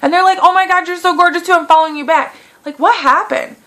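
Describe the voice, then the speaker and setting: A woman's voice: extremely high pitch, quick pace. A woman in her late twenties chatting through a casual beauty Q&A vlog, full of fillers, with a lingering cough. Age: 20s. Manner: chatty, lots of fillers, animated quoting. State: lingering cough, clearing throat. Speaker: beauty vlogger.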